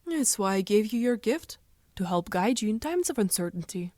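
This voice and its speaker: A woman voicing a calm, cryptic dream spirit in an animated comic dub, speaking in a measured, lightly teasing manner. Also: medium pitch, background noise, close to an everyday voice. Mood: calm, coy.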